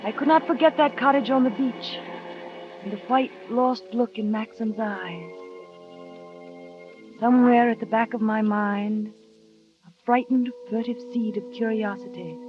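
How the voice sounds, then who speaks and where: A woman's voice: a little high, unhurried, pauses often, over music, lightly acted. A woman playing a shy, anxious young wife. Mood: wistful. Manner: hesitant, earnest, wistful narration. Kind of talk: 1940s radio acting. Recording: dry, dull vintage radio recording.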